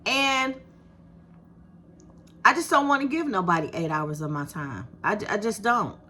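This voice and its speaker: A woman in her fifties with a soft voice, talking straight to her viewers in a casual, encouraging way and calling them "baby". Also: mid-range voice, a little slow. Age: 50s. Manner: animated, encouraging, talks to viewers with "baby" and "you hear me". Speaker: YouTube creator. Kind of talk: casual direct-to-camera talk.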